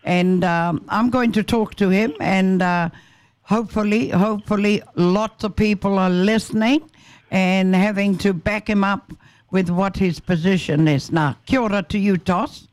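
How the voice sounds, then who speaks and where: A man with a New Zealand accent hosting a radio interview in an unhurried, conversational way. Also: sits high, steady tone, dry recording. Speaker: radio host.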